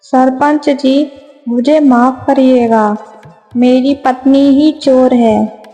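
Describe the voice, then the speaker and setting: A woman's voice: high voice, fairly even intonation, extremely slow, dark tone. A woman playing a humble, honest, apologetic village husband in a Hindi moral-story cartoon. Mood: regretful. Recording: long reverb, music behind.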